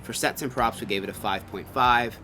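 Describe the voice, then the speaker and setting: A man's voice: mid-range voice, a little fast, bright, forceful tone. A man co-hosting a casual, conversational review, rambling through stories with quoted asides. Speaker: co-host of a haunted attraction review. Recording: music under the talk.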